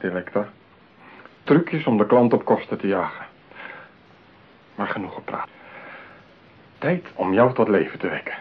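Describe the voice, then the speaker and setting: An actor in a radio play playing a lonely, possessive man besotted with his mechanical bride, talking theatrically. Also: on the low side. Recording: tinny narrow-band radio play, clear hiss.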